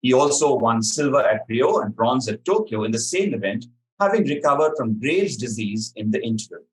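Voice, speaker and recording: A man hosting a quiz, reading questions to contestants and explaining answers in a measured, articulate way. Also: mid-range voice, animated, a little hiss or hum, rough recording. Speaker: quiz master.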